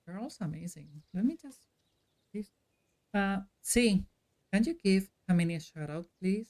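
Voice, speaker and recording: A woman streamer chatting casually with her viewers in a friendly, unhurried way while playing a game. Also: mid-range voice, animated, dark tone.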